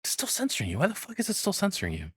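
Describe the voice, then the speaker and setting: A man's voice: high-pitched, wildly swooping pitch, rapid-fire, fairly bright tone, breathy edge, no echo. A man giving casual live reaction commentary as a streamer, rambling and swearing often, close to the microphone.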